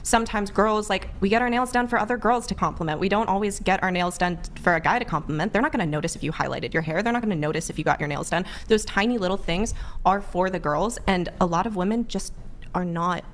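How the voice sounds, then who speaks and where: A woman in a panel discussion, explaining her views in a measured, reflective way. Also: mid-range voice, a little hiss or hum.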